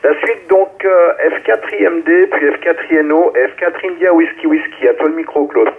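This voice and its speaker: A man's voice: a little high, moderate pace. A man hosting an amateur radio net, handing over the microphone over a narrow two-way radio link. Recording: narrow, tinny ham radio relay.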